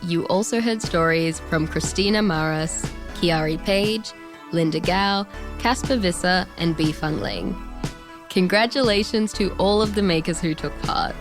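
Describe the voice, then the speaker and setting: An Australian woman reading a scripted programme outro as a radio show host. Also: lowish voice. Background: music.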